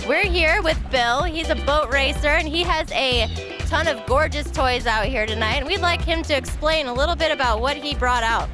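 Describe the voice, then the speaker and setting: Bubbly high-voiced woman hosting a party. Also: moderate pace. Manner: bubbly, gushing with praise. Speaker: event host interviewer. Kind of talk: upbeat red-carpet interviewing. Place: outdoor party at an airport hangar at night. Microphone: handheld mic. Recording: loud party music and crowd behind.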